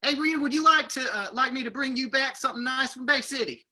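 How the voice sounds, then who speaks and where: Performer as a husky, boisterous male prospector. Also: sits high, fast talker, pushed and bright, exaggerated voice. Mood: flirtatious, jovial. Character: boisterous, flirtatious male gold prospector named George. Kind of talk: old-time radio drama reading.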